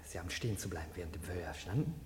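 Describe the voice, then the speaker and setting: An actor playing a gruff, servile court guard in a radio play, speaking in curt, halting phrases. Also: male voice, low voice, animated, a little mellow. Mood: curt, bossy. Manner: curt, halting, deferential to superior. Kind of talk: 1970s radio play acting. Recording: distant, echoey hall, background noise.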